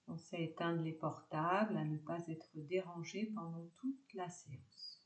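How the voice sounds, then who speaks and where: A woman teaching yoga nidra, guiding a relaxation meditation calmly in short, separated phrases. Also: fairly low pitch, a little slow, reverberant.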